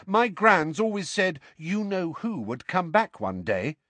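A simple, earnest schoolboy as a man puts him on in an audiobook. The voice pipes up high and plain, loyal and sincere. It is recorded dry and close. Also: sing-song, unhurried, fairly bright tone, no room sound, exaggerated voice. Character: simple, earnest, loyal teenage schoolboy who trusts his gran. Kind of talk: audiobook character voice.

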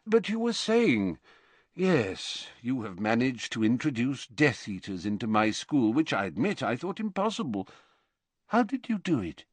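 A man voicing a wise, gentle, very old male wizard headmaster who speaks mildly and calmly. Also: mid-range voice, unhurried, breathy edge, no room sound, pitched above the performer's own voice. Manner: mild, soft-spoken and calm.

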